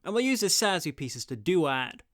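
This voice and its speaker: A man's voice: a little high, big pitch swings, moderate pace, fairly bright tone, no echo, studio quality. A man narrating a scripted review as host of a guide to audio stories.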